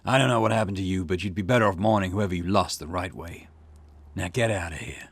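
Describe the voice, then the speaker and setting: Man putting on a low, gruff detective boss. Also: fast talker, no echo. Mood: stern. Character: gruff, steady male police detective boss. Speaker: let's player voicing game dialogue. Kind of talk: visual novel dialogue read aloud.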